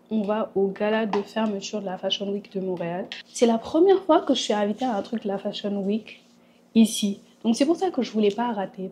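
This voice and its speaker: Woman vlogger, casual home chat, slightly congested, mid pitch, mixes in English. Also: a little mellow, a little hiss or hum. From Senegal. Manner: chatty, mixes in English phrases. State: recovering from a cold. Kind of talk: casual vlog talk. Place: at home in Montreal.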